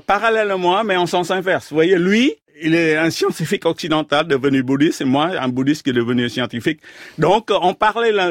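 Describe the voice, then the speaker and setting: A man from Vietnam, a scientist guest in a radio interview, explaining his ideas in a measured way, pausing often to search for words. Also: fairly high pitch, no echo, clean studio sound. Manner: measured, thoughtful, halting with many fillers. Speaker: guest, astrophysicist.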